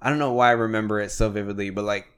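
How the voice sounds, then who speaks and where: A man's voice: fairly low pitch, steady tone, very fast, clean tone. A man in his twenties, born in Mexico, chatting loosely as a podcast host, with lots of fillers and casual swearing. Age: 20s. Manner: loose and conversational, many "like" fillers, swears freely. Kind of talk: casual chat.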